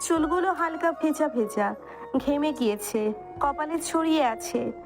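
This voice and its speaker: A woman's voice: high voice, measured pace, over music. A woman reading scripted dramatic narration as the storyteller of an audio story.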